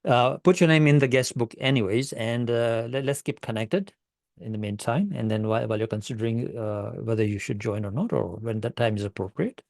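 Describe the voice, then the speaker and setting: A man's voice: mid-range voice, brisk, vocal fry, darkish tone, no room sound, clean studio sound. A man hosting an online club meeting, greeting guests in a friendly, upbeat way over a video call.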